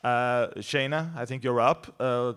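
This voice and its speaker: Mid-pitched man moderating a discussion. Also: measured pace, fairly bright tone, clean tone. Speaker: roundtable moderator. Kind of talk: informal panel discussion.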